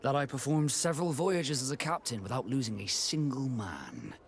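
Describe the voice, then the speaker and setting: An actor playing a proud, reserved male ship captain in a video game, speaking in a measured, formal and courteous way. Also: medium pitch, expressive, natural voice. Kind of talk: video game dialogue acting.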